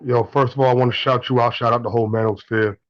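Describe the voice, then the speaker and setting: A man's voice: medium pitch, little pitch movement, quick pace, no echo, dull audio. A man in his twenties calling in to a show, telling blunt stories, swearing and repeating himself. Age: 20s. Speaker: caller. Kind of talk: casual call-in story.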